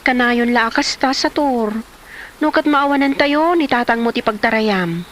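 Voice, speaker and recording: A woman's voice: fairly high pitch, a little slow, fairly bright tone, a little hiss or hum. A woman acting a worn-down, thrifty wife of a gambler in an Ilocano drama. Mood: complaining. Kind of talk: Ilocano comedy drama dialogue.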